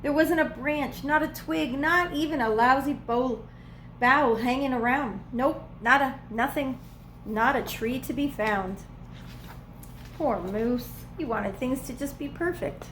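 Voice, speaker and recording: A woman reading a children's storybook aloud as a preschool teacher would, with expressive, playful emphasis. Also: high voice, a little slow, a little hiss or hum, rough recording. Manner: dramatic, playful emphasis on repeated words.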